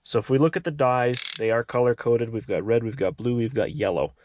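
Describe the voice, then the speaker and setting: A man's voice: mid-range voice, brisk, darkish tone, no echo. A man narrating a casual hands-on DIY tutorial in a methodical, explanatory way. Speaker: host of a DIY car repair tutorial.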